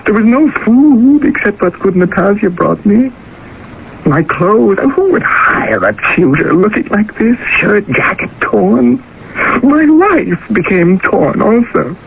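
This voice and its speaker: A guilt-ridden, despairing young former student in a radio drama. His voice sits a little high with a gravelly creak, halting and anguished. The old broadcast sound is muffled under heavy noise. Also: very animated, heavily acted. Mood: despairing, tender. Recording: muffled, very noisy vintage radio recording.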